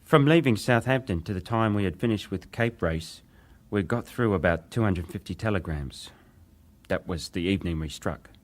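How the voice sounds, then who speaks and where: An actor playing a young British wireless operator giving testimony in a formal, deferential, precise way, as in a dramatized hearing. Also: male voice, sits low, animated, moderate pace.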